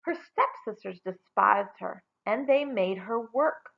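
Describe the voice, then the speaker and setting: A woman reading a children's story aloud in a dramatic, deliberate storytelling manner. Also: mid-range voice, animated, creaky, lo-fi sound. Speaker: storybook reader. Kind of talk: expressive children's read-aloud narration.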